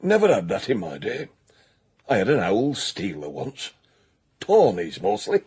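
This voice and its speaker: An actor playing a blustering, cocky retired private investigator with a Northern English accent, gravelly and pompous, in a radio drama. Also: male voice, lowish voice, wildly swooping pitch, a little slow, a little mellow, exaggerated voice. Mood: self-important. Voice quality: gravelly, raspy. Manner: pompous, patronising.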